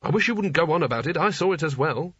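Man acting a husky, gloomy, cowardly wizard. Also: a little high, expressive, fast, fairly bright tone, no room sound, highs cut off, pitched above the performer's own voice. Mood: curt, irritable. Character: gloomy, cowardly, weary male failed wizard.

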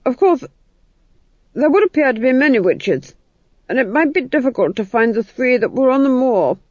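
A woman's voice: high-pitched, sing-song, moderate pace, a little mellow, no room sound, lo-fi sound, voice pushed up. A woman voicing a cold, uneasy male duke in a storybook, clipped and restrained under his wife's rule. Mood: uneasy, placating.

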